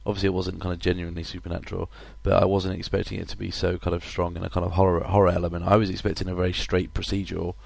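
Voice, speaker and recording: A man with a husky voice from a cold hosts a relaxed podcast conversation. His deep voice has a gravelly fry, and he leans on plenty of hesitant ums and uhs. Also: rapid-fire, hardly any pauses, no echo. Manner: hesitant, lots of uh and um fillers. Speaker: podcast host. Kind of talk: casual film podcast chat.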